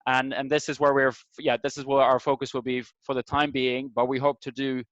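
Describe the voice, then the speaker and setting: A man's voice: medium pitch, flat delivery, a little fast, fairly bright tone, dry recording. A middle-aged man from Sweden informally hosting an online group meeting, searching for his words as he welcomes and introduces people on a video call. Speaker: host of an online community meeting. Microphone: video call microphone. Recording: dull, lo-fi video call audio.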